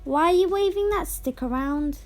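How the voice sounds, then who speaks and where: A female reader voicing a polite, wistful, put-upon teenage girl in a storybook, gentle and plaintive. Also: very high voice, normal speed, faint music behind. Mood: puzzled. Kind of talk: storybook character voice.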